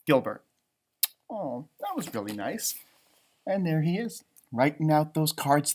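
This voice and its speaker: A man hosting a storytime for children, reading and chatting in a theatrical, halting, conversational way. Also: fairly high pitch. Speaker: storytime host and teacher. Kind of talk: children's storybook read-aloud with chatty asides.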